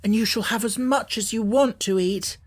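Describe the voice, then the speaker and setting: A woman's voice: fairly high pitch, normal speed, voice pushed up, natural voice. A woman voicing a friendly, generous and eager schoolgirl in an audiobook. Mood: eager, kind. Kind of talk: audiobook character acting.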